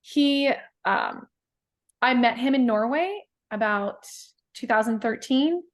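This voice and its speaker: A woman poet talking in a casual interview, thoughtful and halting, with many fillers and restarts. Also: fairly high pitch, lively intonation. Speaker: guest poet.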